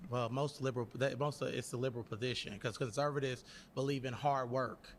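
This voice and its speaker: A man's voice: mid-range voice, steady tone, fairly quick, big-room sound, slight background noise. A man in his thirties in a heated political debate, speaking emphatically and insistently. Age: 30s. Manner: emphatic, insistent, pushes back hard. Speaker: debate guest.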